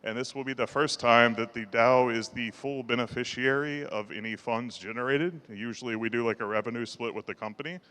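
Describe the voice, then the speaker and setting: A man with a low voice giving his first conference talk, hesitant and full of fillers, holding the mic close. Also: fairly even intonation, brisk, hardly any pauses, sharp tone, long reverb tail. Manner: hesitant, many fillers and restarts. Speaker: conference speaker. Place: large conference hall. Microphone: handheld mic held close.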